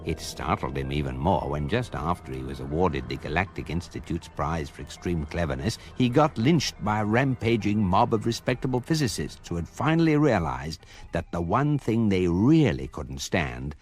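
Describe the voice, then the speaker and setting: Comic radio narrator: deadpan, urbane Englishman, lowish yet expressive, music underneath. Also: normal speed, few pauses. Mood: deadpan, amused. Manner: deadpan, articulate, drily authoritative. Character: urbane, wry male narrator of a galactic guidebook.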